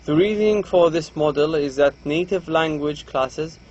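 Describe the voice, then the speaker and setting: A man's voice: on the high side, unhurried, darkish tone, lo-fi sound, slight background noise. A man from Saudi Arabia with a Saudi Arabic accent, a native Arabic speaker, giving a recorded reading lecture to students, reading a textbook aloud and explaining with frequent restarts. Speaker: university English lecturer.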